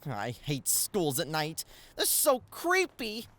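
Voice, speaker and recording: A woman's voice: low voice, huge pitch swings, slow, background noise, heavily acted. A woman voicing an earnest, jittery teenage schoolkid in an anime-style audio drama. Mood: nervous.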